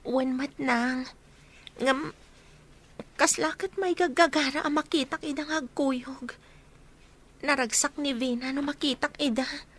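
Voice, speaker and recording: Radio drama: jealous young daughter, very high pitch, sharp tone. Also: female voice, steady tone, measured pace, long pauses. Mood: hurt, sad.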